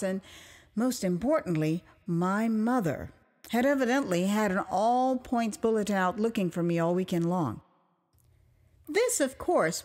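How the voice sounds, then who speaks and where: An American woman narrating a dramatized memoir as its author, conversational and expressive, with dramatic pauses. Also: mid-range voice. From United States (Houston, Texas). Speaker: author and storyteller.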